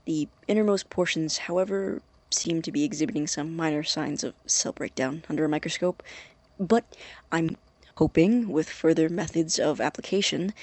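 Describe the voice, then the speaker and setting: An actor playing a secretive, obsessive young scientist who records experiment logs in a halting, deliberate, formal way, as in an audio drama. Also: male voice, a little high, darkish tone, no room sound, lightly acted. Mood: measured, guarded. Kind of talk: dramatic audio-diary monologue.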